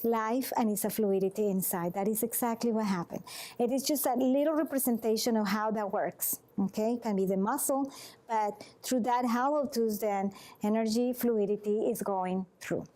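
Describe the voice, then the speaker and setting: A woman from Venezuela with a Venezuelan Spanish accent, a massage therapist giving an earnest, informal health talk to a group. She speaks in halting, restarting phrases and often checks in with "okay?". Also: a little high, dark tone.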